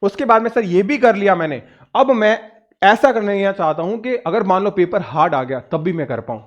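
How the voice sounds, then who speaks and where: A man's voice: high-pitched, expressive, fairly quick, highs cut off. A man with an Indian accent lecturing students on exam preparation, emphatic and instructive, often asking and answering his own questions, with English words mixed in. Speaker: exam coaching teacher.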